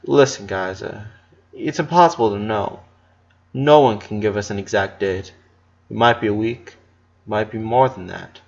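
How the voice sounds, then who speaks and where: A man voicing a gentle, sorrowful eleven-year-old boy, a protective big brother who speaks haltingly, in a story reading. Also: fairly low pitch, gravelly, highs cut off. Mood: hesitant, uneasy. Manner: halting, hesitant.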